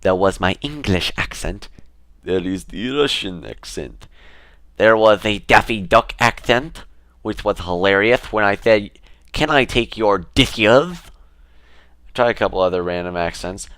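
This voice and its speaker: A young man talking casually to his camera in a relaxed, rambling way, with fillers and restarts. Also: fairly low pitch, creaky, no echo, studio quality. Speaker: vlogger.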